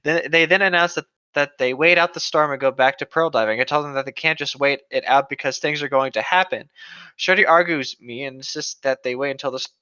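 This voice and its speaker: Male co-host, bright forward voice, casual chat and story reading, slightly lo-fi recording. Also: on the high side, fairly quick, smooth, no echo. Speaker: podcast co-host. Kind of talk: casual chat and reading gaming stories aloud.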